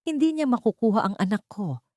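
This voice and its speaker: A woman voicing a young mother who speaks in a hushed, steely tone, in a storybook reading. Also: fairly high pitch, animated, fairly quick, no echo, studio quality, voice pushed up, natural voice. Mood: cold resolve. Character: young mother, weak but fiercely protective.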